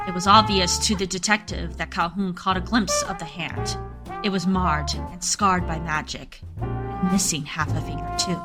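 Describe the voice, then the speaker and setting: A woman's voice: lowish voice, normal speed, over music. A woman narrator reading scripted storytelling narration for a radio play.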